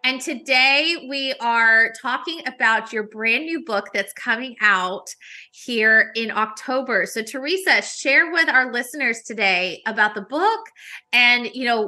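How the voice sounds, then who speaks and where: A woman's voice: a little high, a little slow, bright, forceful tone. A woman hosting a podcast interview, upbeat and enthusiastic as she introduces her guest. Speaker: podcast host.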